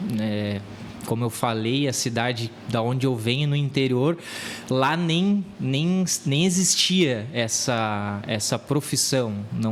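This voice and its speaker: A man's voice: mid-range voice, slight background noise. A man in his thirties with a Rio Grande do Sul accent, a real estate agency owner telling his story as a podcast guest, often repeating words as he searches for them. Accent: Rio Grande do Sul Brazilian Portuguese. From southern Brazil. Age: 30s. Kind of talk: casual interview talk.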